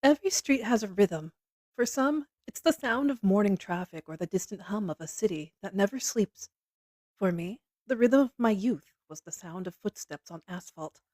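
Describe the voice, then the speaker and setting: A woman narrating a literary text in a measured, reflective manner. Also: medium pitch, dark tone, dry recording, studio quality. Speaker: narrator reading a book aloud.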